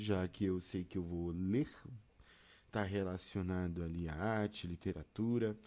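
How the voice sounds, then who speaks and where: Mellow Brazilian man giving a tutorial. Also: fairly low pitch. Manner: chatty, encouraging, addresses learners directly. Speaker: video lesson instructor on podcasting. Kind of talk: informal step-by-step tutorial.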